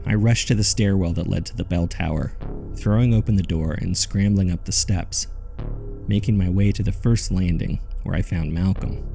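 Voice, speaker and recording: Horror audio drama narrator: haunted man, grave and measured, mellow dark timbre, heavy fry. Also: sits low. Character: haunted male narrator recounting his own horror ordeal. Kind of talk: scripted first-person horror narration.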